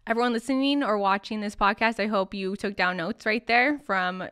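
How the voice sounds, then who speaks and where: A woman's voice: medium pitch, normal speed, a bit bright, clear, resonant tone, dry recording, studio quality. A woman hosting a podcast interview in a chatty, encouraging, casual way. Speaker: podcast host.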